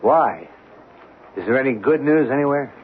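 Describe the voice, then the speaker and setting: A thin old radio recording with faint noise features a man acting a down-on-his-luck husband. His voice is middling in pitch, proud and weary, with a blunt grumble. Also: lively intonation, normal speed, lightly acted. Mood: grumbling, proud. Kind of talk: 1970s radio drama acting. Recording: phone-like, noisy 1970s radio broadcast recording.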